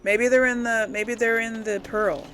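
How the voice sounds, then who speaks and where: A woman's voice: on the high side, fairly even intonation, a little slow, a bit bright, slight background noise. A woman giving casual, unscripted game commentary to viewers, rambling a little as she thinks aloud. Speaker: let's play host.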